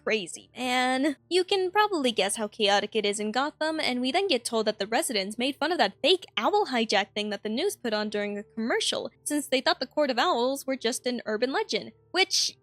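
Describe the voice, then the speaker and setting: High-voiced woman narrating a video analysis. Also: lively intonation, a little fast, hardly any pauses, a bit bright, dry recording, faint music behind. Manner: conversational, dryly joking asides to viewers. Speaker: host.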